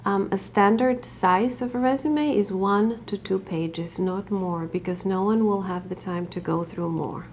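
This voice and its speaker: A woman with a gravelly edge to her voice explains resume writing as a workshop instructor. She talks in a measured, instructive way and pauses on fillers now and then. There is a faint hum behind her. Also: mid-range voice, a little mellow. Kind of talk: interview explaining resume advice.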